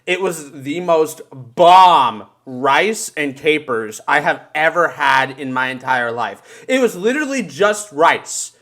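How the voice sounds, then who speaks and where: A man with a slightly high voice talks casually on a podcast. He tells stories in an animated, sweary way, full of bro slang. He comes from the United States. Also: takes it slowly, few pauses. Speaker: podcast co-host. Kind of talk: casual podcast chat and storytelling.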